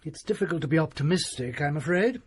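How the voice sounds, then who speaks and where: An actor playing a cool, superior family doctor in a radio drama, reproving and smooth. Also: male voice, fairly high pitch, expressive, quick pace, a little hiss or hum, natural voice. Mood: condescending. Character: cool condescending family physician.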